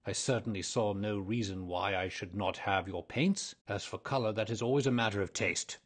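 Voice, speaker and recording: A man playing a weary, lonely old ghost. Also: fairly low pitch. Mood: meek. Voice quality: husky, slow and dreamy. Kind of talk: audiobook drama reading.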